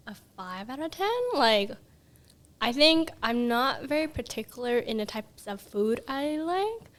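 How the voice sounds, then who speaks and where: A woman's voice: mid-range voice, fairly bright tone. A woman in her twenties from Los Angeles, chatting on a student podcast in a hesitant way with "um" and "like". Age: 20s. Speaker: podcast guest. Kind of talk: casual chat.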